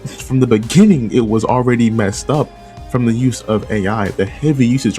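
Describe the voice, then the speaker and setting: A man's voice: medium pitch, darkish tone. A man giving casual opinion commentary as a video essay host, conversational and often rephrasing his points. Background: background music.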